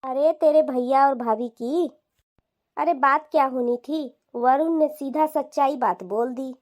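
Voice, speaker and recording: Woman doing a bossy cartoon mother in law, high voice, slow talker, snide and gossipy. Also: a little mellow. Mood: dismissive gossip. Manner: mocking, dismissive. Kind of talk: animated family drama dialogue.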